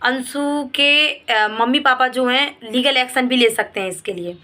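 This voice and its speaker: A woman's voice: high-pitched, measured pace, sharp tone. A woman vlogger giving opinion commentary straight to her viewers, in an emphatic, reproachful way that leans on repeated points.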